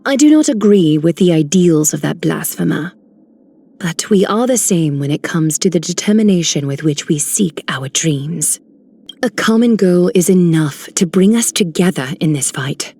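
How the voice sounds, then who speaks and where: A woman's voice: on the low side, expressive, moderate pace, mellow, husky. A woman voicing a composed, eloquent heroine in a video game, formal and poised. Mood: determined. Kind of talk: video game character voice lines.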